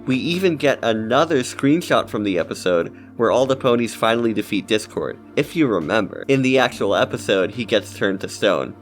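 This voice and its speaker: A man's voice: lowish voice, animated, normal speed, few pauses, soft background music. A man giving casual scripted commentary as a video essayist.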